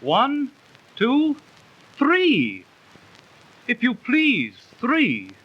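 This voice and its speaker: An actor playing an uneasy, teasing soldier comrade in a theatrical thirties radio drama. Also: male voice, very high voice, very slow, breathy edge, exaggerated voice. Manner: excitable, theatrical. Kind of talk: 1930s radio drama acting. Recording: muffled old 1930s radio recording.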